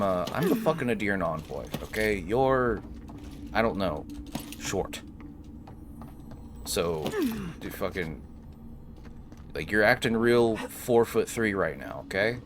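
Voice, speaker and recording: Low-voiced, animated male gamer, sardonic. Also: slow, pauses often, background noise. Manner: sardonic, rambling, sweary. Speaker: gaming video host. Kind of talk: casual playthrough commentary.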